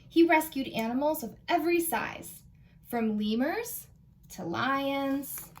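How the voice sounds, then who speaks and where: Children's librarian, female, American. High-pitched, expressive storytime read-aloud, engaging young listeners directly. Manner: animated, asks listeners questions.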